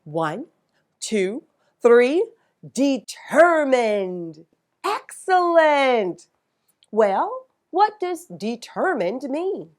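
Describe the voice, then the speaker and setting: A woman's voice: high voice, sing-song, extremely slow, long pauses. A woman hosting a children's read-aloud, speaking with dramatic emphasis and asking questions like a teacher. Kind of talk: expressive picture-book read-aloud for children.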